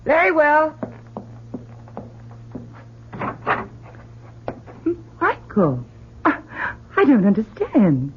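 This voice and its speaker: A woman's voice: high voice, wildly swooping pitch, measured pace, heavily acted. An actress playing a fretful, doting middle-aged wife and mother in an old-time radio drama, emotional and fussing. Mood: flustered, affectionate. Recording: tinny, noisy old radio recording.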